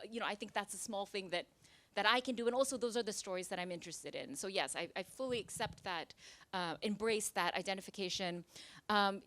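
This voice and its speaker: A woman novelist from Singapore speaks with a middle-range pitch in a dry, close sound. She works through her thoughts aloud, with fillers and restarts, at a library talk. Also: fairly bright tone, no room sound. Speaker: novelist guest. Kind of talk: conversational author talk. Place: library event space with live audience.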